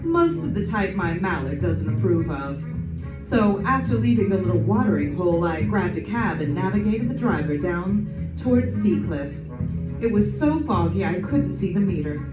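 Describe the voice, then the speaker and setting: An actress playing a wisecracking young woman private detective in an old-time radio drama, with wry, breezy delivery. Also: medium pitch. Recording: roomy distant stage recording with music.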